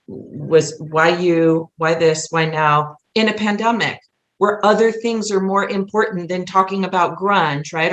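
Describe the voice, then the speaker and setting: A woman with a slightly low voice, recorded in a roomy, echoey space. She speaks as a guest in casual conversation, earnest and emphatic, rambling from one thought into the next. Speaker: podcast guest, musical playwright.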